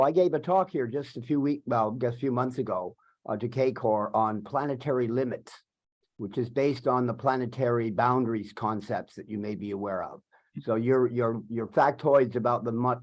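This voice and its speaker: A man in the audience asking a speaker polite, hesitant questions, with many pauses and "uh"s. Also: medium pitch, darkish tone. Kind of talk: question and answer.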